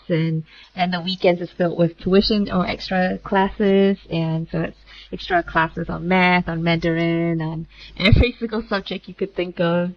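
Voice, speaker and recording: Woman, 30s, from Malaysia, founder guest; lowish voice, chatty with filler asides, slightly dull recording. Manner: chatty, quick asides with frequent "like" and "kinda". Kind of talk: casual interview talk.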